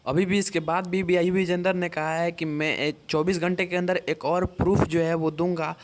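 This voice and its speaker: A man hosts an informal online news update. His voice sits slightly high for a male speaker and stays on a very even, flat line. He explains point by point, circling back over his phrases. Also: moderate pace, background noise. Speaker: YouTube host reporting entertainment gossip. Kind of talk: informal news commentary to camera or microphone.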